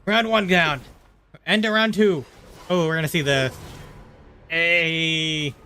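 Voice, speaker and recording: A man streaming a video game, giving casual reaction commentary with drawn-out exclamations. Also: on the high side, steady tone, sharp tone, smooth. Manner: drawn-out exclamations, easily impressed.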